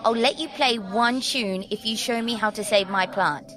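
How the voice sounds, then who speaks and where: A woman's voice: fairly high pitch, normal speed, a bit bright, faint music behind, lightly acted. A woman playing a wary, earnest girl, the big sister, in an audio drama, guarded and pleading. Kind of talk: audio fiction drama acting.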